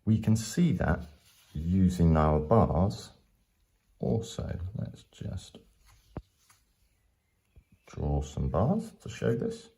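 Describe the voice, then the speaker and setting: A man with a low, creaky voice gives a step-by-step maths lesson. He speaks slowly and patiently, repeating his points like a teacher explaining to a learner. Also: very animated, dark tone.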